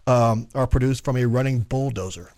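A man's voice: mid-range voice, moderate pace. Man, sports radio co-host, casual banter, chuckling, studio mic. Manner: reads trivia aloud, chuckling, gets louder when excited.

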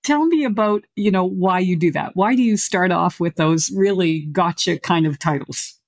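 A woman's voice: lowish voice, normal speed, dry recording, studio quality. A woman who is an educator hosts an interview, speaking with enthusiasm and lots of praise for her guest. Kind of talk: interview hosting.

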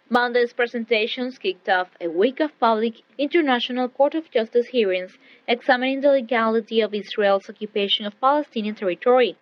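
High-voiced woman newsreader, dull dry audio. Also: no room sound. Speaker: radio newsreader. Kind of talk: scripted news reading. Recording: dull, band-limited shortwave radio audio.

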